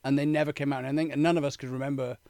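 A man's voice: mid-range voice, rapid-fire, dry recording, clean studio sound. A man, telling stories in casual chat and swearing freely. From England. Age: 40s. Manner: swears freely, animated storytelling. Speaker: podcast guest telling his life story.

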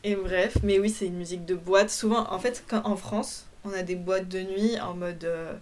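A woman's voice: mid-range voice, steady tone, moderate pace, a little mellow. A woman in her twenties chatting casually, with many fillers and restarts and frequent laughs. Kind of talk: casual chat.

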